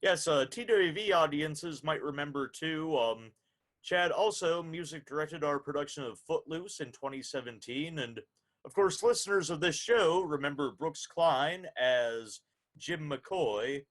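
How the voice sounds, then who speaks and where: A man's voice: fairly high pitch, lively intonation, unhurried, a bit bright, rough recording. A man hosting a radio interview in a courteous, announcer-like way. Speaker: show host, interviewer.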